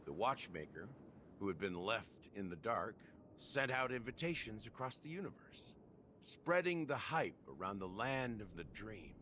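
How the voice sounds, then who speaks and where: A man's voice: on the low side, very animated, normal speed, sharp tone, slight background noise. An actor playing a world-weary, grave man recounting a regretful past in a video game.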